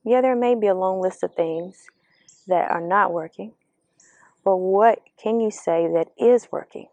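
A woman speaks slowly and earnestly, coaching the listener with gentle questions. Her voice is gravelly with a dark, mellow tone. Birdsong drifts in behind the dry recording. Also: medium pitch, no room sound, lo-fi sound. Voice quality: slightly raspy. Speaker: vlogger giving spiritual encouragement. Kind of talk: reflective motivational monologue. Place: outdoors among birds.

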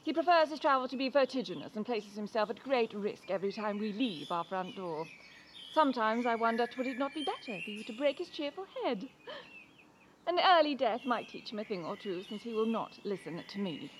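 A woman's voice: a little high, animated, brisk, slight background noise, natural voice. An actress playing a prim, haughty married lady traveller of the Georgian era, complaining formally and disdainfully in an audio drama. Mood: withering. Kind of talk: period audio drama acting.

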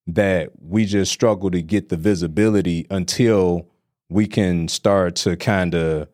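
A man's voice: sits low, measured pace, no echo, clean studio sound. A man from Detroit, a real estate investor, talking casually as a podcast guest and often checking in with "right?". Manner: relaxed, conversational, repeats "right?". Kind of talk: casual interview conversation.

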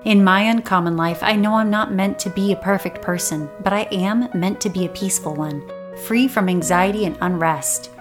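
A woman in her thirties talks straight to her listeners in a self-help podcast. Her voice is a little raspy and dry today, at a middle pitch. She sounds earnest, gentle and encouraging throughout. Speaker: podcast host.

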